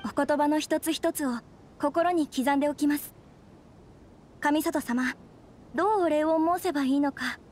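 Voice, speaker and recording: A woman's voice: high-pitched, a little slow, pauses often, darkish tone, slight background noise. An actress playing a refined, demure young noblewoman in an anime game, very polite and a little hesitant. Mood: grateful. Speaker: bride-to-be of a noble clan. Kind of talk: anime game voice acting, formal polite dialogue.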